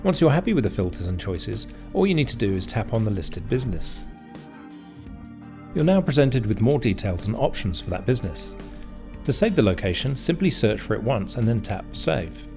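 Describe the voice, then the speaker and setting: A man reading scripted instructional narration in a measured, explanatory way, as a tutorial narrator. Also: lowish voice, sing-song. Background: background music.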